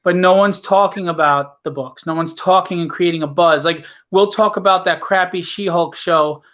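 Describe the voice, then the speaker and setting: A man's voice: sits high, moderate pace, fairly bright tone, highs cut off. A middle-aged man talking casually and bluntly as an interview guest, earnest and emphatic about his work as an indie publisher. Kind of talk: casual conversational talk.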